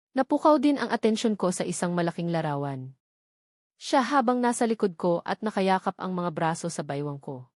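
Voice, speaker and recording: A woman's voice: medium pitch, darkish tone, dry recording, clean studio sound. A Filipino woman reading a first-person romance novel aloud as a dramatic, sorrowful narrator.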